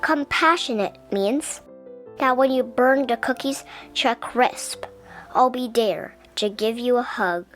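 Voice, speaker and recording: High-voiced woman reading a children's storybook. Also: slow, fairly bright tone, faint music behind. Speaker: picture-book reader. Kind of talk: children's storybook read-aloud.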